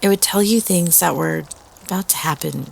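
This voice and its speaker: Actress as young woman prankster telling a campfire ghost story, lots of fry, raspy, pauses for effect. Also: lowish voice. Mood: somber, eerie. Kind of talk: audio roleplay campfire storytelling.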